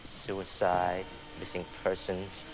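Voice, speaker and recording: Deep-voiced, stern principal. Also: male voice, completely flat delivery, slow, echoey room, crowd noise. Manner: curt, stern. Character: stern, disdainful male school principal. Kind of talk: television drama acting. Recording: noisy TV show playback.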